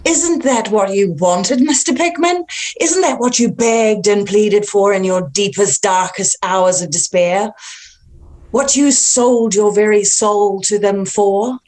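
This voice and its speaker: Woman acting ancient female monster, cult acolyte, sinister, grandiose, taunting, mid-pitched, horror script reading. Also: slow, a little mellow, natural voice. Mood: gloating, menacing. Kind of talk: dramatic horror table read.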